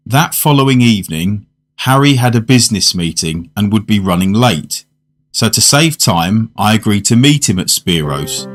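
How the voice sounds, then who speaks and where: A man's voice: lowish voice, lively intonation, normal speed. An older man with a British accent playing a genteel, reflective diner who narrates a macabre tale in a radio drama. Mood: reflective narration. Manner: courteous, literary storytelling. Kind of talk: fan-made radio drama, first-person narration and dialogue. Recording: music under the voice.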